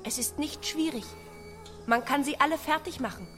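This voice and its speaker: Radio drama role: young female artificial intelligence played by a woman, high voice, curious and blunt, matter-of-fact questioning over music. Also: moderate pace, lightly acted. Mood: curious, detached. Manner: short, literal, matter-of-fact questions and statements. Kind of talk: German radio drama acting.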